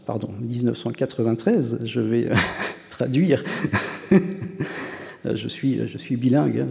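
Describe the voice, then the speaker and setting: A man's voice: mid-range voice, vocal fry, warm tone. Hesitant man lecturing in a hall. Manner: hesitant, many "euh" fillers and restarts. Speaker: university professor giving a conference talk. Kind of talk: academic lecture with slides. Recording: long reverb of a lecture hall.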